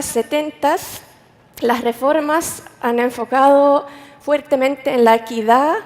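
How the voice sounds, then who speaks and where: A woman's voice: sits high, a little mellow. Woman speaking in a large hall. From Finland. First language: Finnish. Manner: careful, with frequent restarts and hesitations. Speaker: conference speaker. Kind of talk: conference talk.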